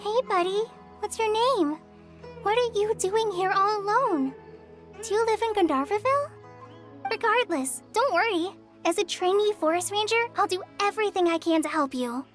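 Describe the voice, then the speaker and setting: Very high-voiced, gentle young ranger girl. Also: lively intonation, normal speed. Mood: reassuring. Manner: gentle, reassuring, earnest. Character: earnest, kindly young female trainee forest ranger. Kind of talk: anime game voice acting. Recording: music under the voice.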